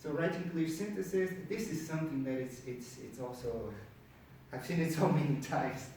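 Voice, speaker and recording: A man's voice: a little high, normal speed, a little mellow. A man from Brazil with a Brazilian accent and Portuguese as his native language, giving an informal, conversational presentation at a meetup, repeating words for emphasis. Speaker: speaker at a career networking meetup. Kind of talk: informal presentation to a live group. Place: indoor meetup room with an audience. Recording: very echoey room, distant mic, faint noise.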